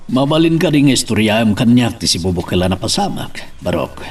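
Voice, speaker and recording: A man's voice: mid-range voice, sing-song, a little fast, darkish tone, slightly rough, recorded from a distance. A man with a Filipino accent playing a concerned uncle, questioning in a probing way in an audio drama. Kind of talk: Filipino radio-style drama acting.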